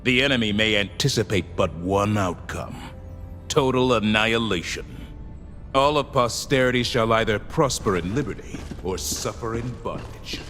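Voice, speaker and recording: A solemn, zealous military officer played by a man delivers stately game lines in a fairly low voice. His words ring out in a big reverberant hall over clear music. The oratory is grandiose and formal, like propaganda for an empire. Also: moderate pace, a bit bright, close to an everyday voice. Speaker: game character voice actor.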